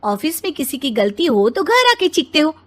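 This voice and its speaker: A woman voicing an irritated, bossy young mother and wife who scolds and retorts sharply in an animated family story. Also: high-pitched, normal speed, pitched above the performer's own voice. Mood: irritated, scolding.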